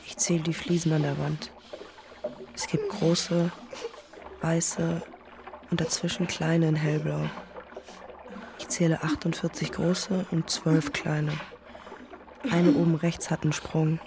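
Numb, creaky-voiced inner narrator. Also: female voice, sits low, flat delivery, slow, pauses often, background noise. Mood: numb, detached. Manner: detached, restrained, inward. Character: numb, self-blaming young woman narrating her inner thoughts. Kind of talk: introspective first-person audio drama narration.

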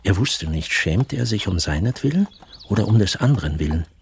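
A man's voice: lowish voice, expressive, brisk, creaky, slight background noise. A man narrating a literary radio play as storyteller.

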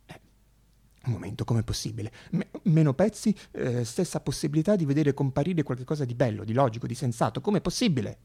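A man performing a theatrical storytelling monologue, with dramatic pauses, repeated phrases and shifts between hushed and emphatic delivery. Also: mid-range voice, animated, vocal fry, slightly rough. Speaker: actor and monologuist.

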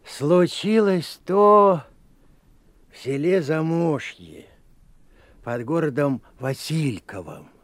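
An actor playing an old village grandfather who tells folk tales in a slow, sing-song way, in a radio play. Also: a little high, animated, no room sound. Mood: storytelling. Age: older. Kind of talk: 1980s radio play storytelling.

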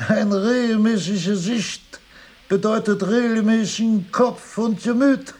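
An actor playing a frail, whimsical professor of seventy-eight in a radio drama, halting and murmuring to himself in a thin voice. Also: male voice, high voice, fairly even intonation, darkish tone. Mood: musing. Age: older. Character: frail, whimsical 78-year-old philosophy professor.

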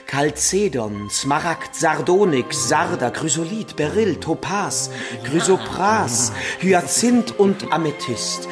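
An earnest medieval scholar holds forth dogmatically in a radio play. His voice sits fairly high and moves at an unhurried pace over prominent music. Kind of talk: German radio drama acting.

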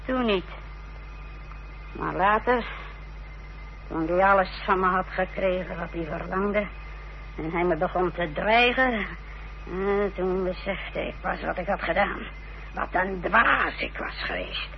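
A woman's voice: on the low side, takes it slowly, long pauses. An actress playing an unrepentant village wife in a Dutch radio play, telling her story in a self-justifying way. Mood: bitter, regretful. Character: unrepentant, defiant village wife confessing her part in a fraud. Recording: dull old radio recording with hiss and hum.

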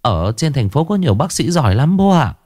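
A man's voice: on the high side, sing-song, brisk, no room sound, studio quality, voice pushed up. A man doing a worried young daughter-in-law. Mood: worried coaxing. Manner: coaxing, gentle.

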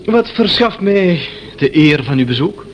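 An actor playing a courteous, puzzled eccentric of about thirty in a radio play, with a formal, unruffled manner. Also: male voice, sits high, big pitch swings, unhurried, lo-fi sound. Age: 30s. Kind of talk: Dutch radio play acting. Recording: noisy old radio play recording.

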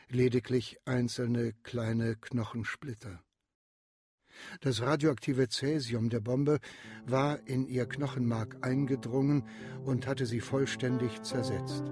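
A grown man telling his own story in a radio drama. His mid-pitched voice carries grave, scripted narration with bitterness, over soft music. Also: slightly rough, no echo, clean studio sound.

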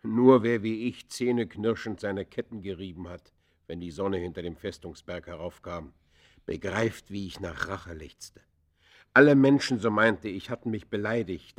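An actor playing an embittered ex-convict in a radio play, confessing in theatrical, literary that swings from hushed to fierce. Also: male voice, lowish voice. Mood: vengeful, fierce. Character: embittered male ex-convict confessing his descent into crime. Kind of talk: German radio play acting, literary monologue.

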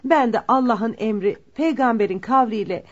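A woman's voice: a little high, normal speed, natural voice. An actress playing a widowed mother who formally seeks a bride for her son in a Turkish radio play, courteous and ceremonious. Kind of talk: Turkish radio theater acting. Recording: muffled lo-fi radio drama recording.

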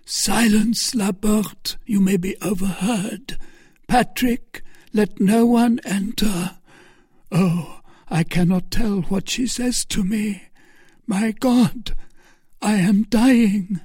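Haughty, defiant English nobleman for an audiobook played by a man; raised pitch, husky edge, very animated. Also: slow pace, no echo, studio quality, exaggerated voice. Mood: dying, urgent. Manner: haughty, exclamatory. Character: imperious English duke, alarmed and defiant nobleman. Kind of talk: dramatic audiobook character acting.

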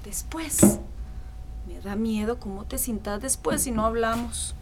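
High-voiced fretting Costa Rican mother. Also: unhurried, background noise. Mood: coaxing, worried. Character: worried, coaxing, affectionate mother. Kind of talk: radio soap opera acting.

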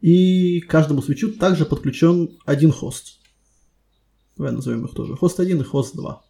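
Gravelly, mellow male interviewer. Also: a little high. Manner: deliberate, step-by-step. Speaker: technical interviewer. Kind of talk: conversational technical interview questioning.

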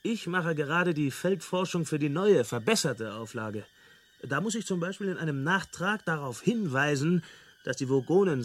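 An actor playing an unflappable galactic hitchhiker in a radio drama, explaining things in a nonchalant, amused way. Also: male voice, a little high. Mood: breezy, amused. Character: breezy, unflappable male galactic hitchhiker, experienced field researcher.

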